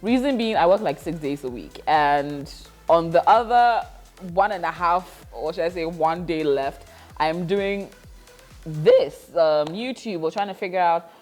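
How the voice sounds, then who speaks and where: Woman, thirty, lowish voice, reflective vlog talk, frequent laughs, faint music behind. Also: animated, unhurried, darkish tone. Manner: reflective, laughs often, many fillers. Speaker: vlogger. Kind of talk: casual confessional vlog.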